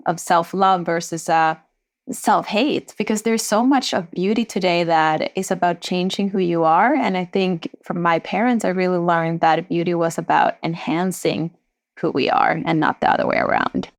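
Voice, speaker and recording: A woman in her thirties from Sweden, a founder telling stories from her life in a reflective, warm way in a podcast interview. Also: lowish voice, moderate pace. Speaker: entrepreneur guest.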